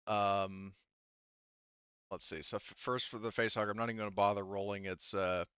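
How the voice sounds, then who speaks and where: Man, game master, deep-ish smooth voice, casual halting narration, thinking aloud, dry slightly dull recording. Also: flat delivery, fairly bright tone, dry recording. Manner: halting, thinking aloud while working out dice.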